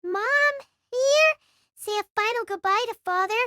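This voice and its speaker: A man's voice: very high voice, measured pace, pushed and bright, no room sound, studio quality, pitched above the performer's own voice, exaggerated voice. A man doing a tiny composed girl's voice. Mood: urging. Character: composed young girl, grieving heir of a mage family.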